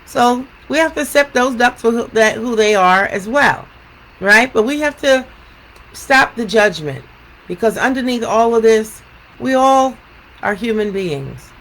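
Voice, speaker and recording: A woman educator speaking in a deliberate, emphatic way, as in a read-aloud storytime and reflective talk. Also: on the high side, animated, a bit bright, rough recording. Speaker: educator reading a picture book aloud.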